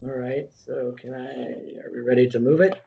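A man chairing a board meeting over a video call, briskly moving through votes and agenda items. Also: mid-range voice, fairly even intonation, vocal fry. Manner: brisk, procedural roll call. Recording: dull lo-fi video call audio.

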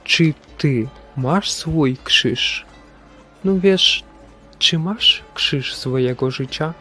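A man playing a stern, solemn Christ in a religious drama, deliberate and questioning like a preacher. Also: a little high, pushed and bright, soft background music, full character voice. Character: stern, solemn Christ speaking from a broken figurine. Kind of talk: dramatic religious stage-play acting.

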